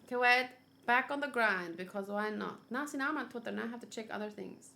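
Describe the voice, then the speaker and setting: A woman's voice: fairly high pitch, quick pace, creaky, sharp tone. A woman streaming as she reacts to a game, chatting casually and rambling with lots of fillers.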